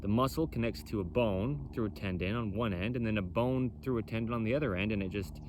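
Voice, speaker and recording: Loud, emphatic man over background noise. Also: lowish voice, fast. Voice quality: loud, carrying voice. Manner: bold, emphatic, repetitive. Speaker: presenter for a clothing company. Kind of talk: impromptu explainer on body mechanics.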